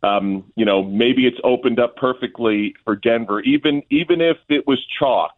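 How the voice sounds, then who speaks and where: A man's voice: medium pitch, normal speed. A man guesting as a play-by-play announcer, giving casual sports analysis over a narrow, phone-like connection. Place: remote link from an arena. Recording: narrow tinny phone-like line.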